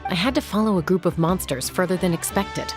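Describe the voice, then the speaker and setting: An actress playing a cool, composed young female monster hunter in a video game, with a low, gravelly voice. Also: brisk, lightly acted. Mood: earnest. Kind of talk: video game character acting. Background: music.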